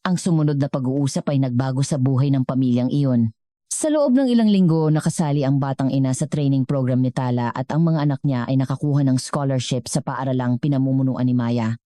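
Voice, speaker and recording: A woman narrates a scripted story in a very low-pitched voice. Her tone is soft and dark, and the sound is dry and close, with little room on it. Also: brisk, no echo.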